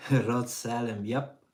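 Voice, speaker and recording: A middle-aged man from Hungary with a Hungarian accent, hosting a relaxed live Q&A for his vanlife channel and answering chat questions with many fillers. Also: medium pitch. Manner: slow, relaxed, pauses with fillers. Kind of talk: live stream Q&A reading chat.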